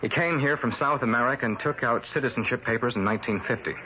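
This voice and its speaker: A man's voice: medium pitch, fast, sharp tone, a little hiss or hum, close to an everyday voice. A man playing an insistent newspaper reporter. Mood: urgent. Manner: insistent, stumbles over words. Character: earnest male newspaper reporter. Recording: dull lo-fi old radio broadcast.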